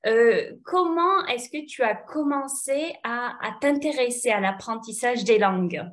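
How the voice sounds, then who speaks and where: A woman from France hosting an interview, asking questions slowly with many fillers. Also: a little high, a bit bright. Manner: slow, halting, many fillers. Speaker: host, French tutor. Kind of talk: conversational interview.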